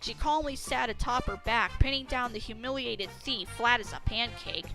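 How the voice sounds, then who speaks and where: A woman reading a scripted story as narrator, with an expressive, dramatic storytelling delivery. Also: fairly high pitch, normal speed, over music.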